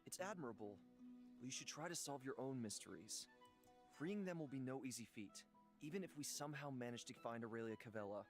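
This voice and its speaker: A man voicing a gentle, wise, centuries-old god in human form for a visual novel, calm and measured, as if counselling. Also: medium pitch, natural voice. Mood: calm, advising. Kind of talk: visual novel character reading. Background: music.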